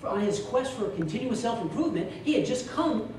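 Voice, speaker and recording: A man giving a humorous storytelling speech to a live club audience, theatrical, with drawn-out punchlines. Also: extremely high pitch, expressive. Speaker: contest speaker telling a humorous tale. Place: meeting room before an audience. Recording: echoey room from far off, loud noise.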